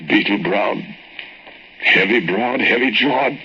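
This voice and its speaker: An actor playing a fearful explorer in an old-time radio drama, halting in speech and florid in his dread-filled narration. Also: lowish voice, pushed and bright, muffled recording. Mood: grim awe. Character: panicky, fearful cave explorer narrating his own ordeal. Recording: noisy, band-limited vintage radio recording.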